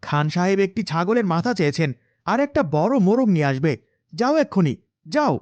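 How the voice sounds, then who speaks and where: A man's voice: high-pitched, very animated, fairly quick, darkish tone, no echo, dull audio. Man as swooping, bossy rich master. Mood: bossy, boastful. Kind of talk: cartoon moral-story voice acting.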